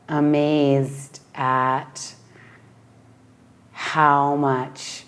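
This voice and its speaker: Woman, raspy, very deep, slow and thoughtful, heartfelt, yoga teacher. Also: darkish tone. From Western Massachusetts, USA. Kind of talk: reflective personal interview.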